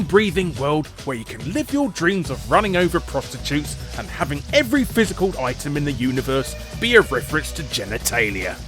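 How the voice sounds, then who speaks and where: A male host reads a scripted comedy countdown about games. His fairly high voice swoops dramatically with jokey, theatrical emphasis. Faint music plays underneath. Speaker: host of a video game list show. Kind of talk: scripted comic narration. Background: light background music.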